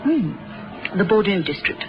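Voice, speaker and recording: An actress playing a composed, playful female agent with a wry deadpan manner in a vintage radio drama. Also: medium pitch, slow pace, soft background music. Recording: noisy vintage radio recording.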